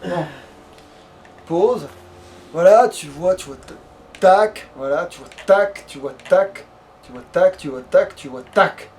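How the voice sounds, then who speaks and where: A man's voice: high voice, expressive, slow, pauses often, darkish tone. A man giving casual, informal, sweary commentary while playing a video game. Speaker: let's player playing a fantasy game. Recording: distant mic, faint room noise.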